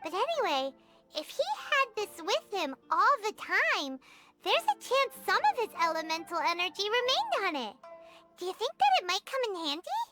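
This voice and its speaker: Super high squeaky little game fairy, chirpy n chatty, bouncy pitch all over. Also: female voice, big pitch swings, normal speed, over music. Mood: curious. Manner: chirpy, refers to self in third person. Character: tiny childlike floating fairy companion, excitable and chatty. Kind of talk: video game voice acting.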